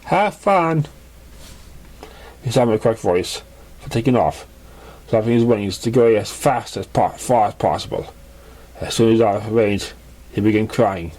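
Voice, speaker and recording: A man's voice: fairly low pitch, moderate pace, dark tone. A man reading fan fiction aloud as an amateur narrator, often stumbling over words and restarting them. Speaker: amateur story reader.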